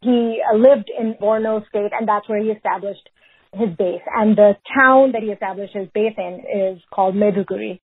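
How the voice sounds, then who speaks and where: A woman from Pakistan, a researcher answering interview questions in a measured way, often hedging with "sort of" and "if you will". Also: mid-range voice, steady tone, breathy edge, no room sound. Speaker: researcher and guest. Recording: phone-like remote line.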